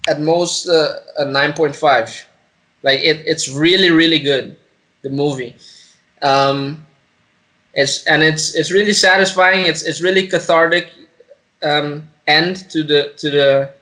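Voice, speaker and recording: A man with a bright, forceful, fairly high voice chats as a podcast co-host. He gives casual movie opinions haltingly, often doubling back on his words. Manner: halting, repeats words and phrases. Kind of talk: casual movie review chat.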